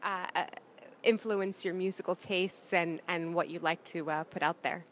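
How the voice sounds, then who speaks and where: A woman interviewing a band, upbeat and quick to laugh. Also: lowish voice, fairly even intonation, fairly bright tone, slight background noise. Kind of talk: casual interview.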